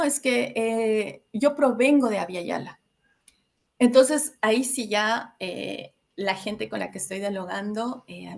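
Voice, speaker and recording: Woman from Ecuador, 30s, workshop facilitator; mid pitch, gravelly edge, thoughtful and halting delivery. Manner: reflective, many filler hesitations. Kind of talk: educational talk to a group.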